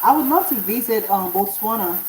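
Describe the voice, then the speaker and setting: A woman's voice: medium pitch, expressive, a little fast, slight background noise. Chatty woman cooking in an echoey kitchen. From Nigeria. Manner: chatty, rambling, answers viewers while cooking. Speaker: author hosting a livestream. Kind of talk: casual live-stream chat with viewers. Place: home kitchen. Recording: roomy, distant, running tap water.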